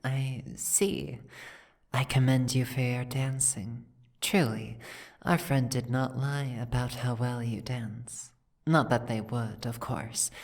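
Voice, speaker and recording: A woman playing a regal female dragon in an ASMR roleplay, speaking in a formal, measured, gracious manner. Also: a bass voice, darkish tone, heavily acted. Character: courtly, regal female dragon, host of a mansion ball. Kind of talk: ASMR roleplay audio drama.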